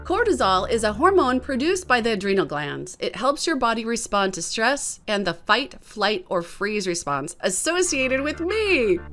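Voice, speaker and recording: A woman's voice: a little high, very animated, moderate pace, few pauses, a bit bright, faint music behind. A woman reading scripted explanatory side notes as an audiobook narrator.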